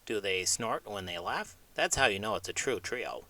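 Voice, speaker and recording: A cocky little wisecracker of a pig, acted out by a man in a children's story skit. The delivery is boastful and cheeky, with very lively pitch swings. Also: normal speed, heavily acted. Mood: boastful, playful. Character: cheeky hot-sauce-obsessed pet pig, brashly confident. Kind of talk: children's read-aloud comic skit.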